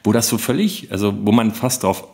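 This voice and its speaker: Man, fairly low pitch, casual podcast co-host, conversational. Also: expressive, brisk, darkish tone.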